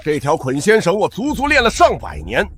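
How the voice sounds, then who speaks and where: An actor playing an arrogant elder sect ancestor villain, bombastic and theatrical, in a historical fantasy drama. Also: male voice, high voice, wildly swooping pitch, brisk, a bit bright, slight background noise, full character voice. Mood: gloating.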